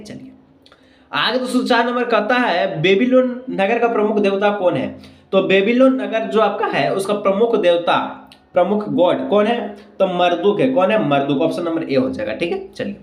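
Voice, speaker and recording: A man teaching exam questions and answers, repeating himself and asking rhetorical questions as he goes. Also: sits high. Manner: repetitive, frequent check phrases and rhetorical questions. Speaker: teacher, exam-prep video host. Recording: echoey room sound.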